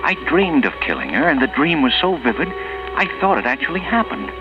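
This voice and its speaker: An actor playing a guilt-ridden, jittery murderer who talks to himself in halting, agonized 1940s radio style. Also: male voice, a little high, sing-song. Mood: anxious, self-deluding. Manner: agonized, halting, stammering monologue. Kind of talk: 1940s radio mystery acting. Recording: muffled 1940s radio recording, loud music behind.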